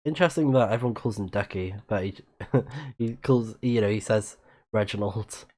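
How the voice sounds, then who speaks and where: A man's voice: lowish voice, normal speed, darkish tone. A man livestreaming a video game, giving casual commentary and reading game text aloud. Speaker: game streamer.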